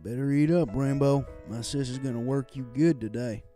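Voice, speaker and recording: A man's voice: mid-range voice, normal speed, dark tone, faint music behind, lightly acted. A man voicing a plain-spoken, teasing big-brother farm pony in an audiobook, with a folksy manner.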